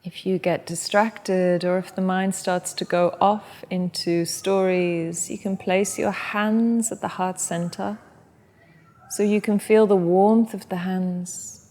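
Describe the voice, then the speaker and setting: A woman guides a meditation in a soft and gentle way, taking her time between phrases. Her voice sits at an ordinary middle pitch. A long reverb hangs behind her words. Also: fairly even intonation. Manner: soft, slow and gentle guidance. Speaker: yoga and meditation teacher.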